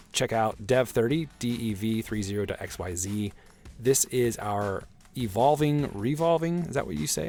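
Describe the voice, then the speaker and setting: A hoarse man hosting a solo podcast, talking in an earnest, motivational way and often adding "right?" to his points. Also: on the low side, a little mellow. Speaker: podcast host.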